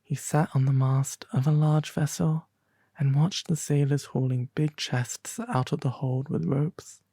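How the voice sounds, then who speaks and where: A man narrating a fairy tale in a soft, breathy ASMR storytelling style, gentle and theatrical, close to the microphone. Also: medium pitch, steady tone, measured pace, no room sound. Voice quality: soft, breathy, at times whispery.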